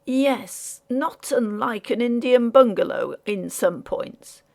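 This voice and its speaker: A woman voicing a cool, tactful gentleman in a storybook, measured, polite and understated. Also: on the high side, vocal fry, pitched above the performer's own voice. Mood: musing, polite. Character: cool, tactful English gentleman, civilian guest.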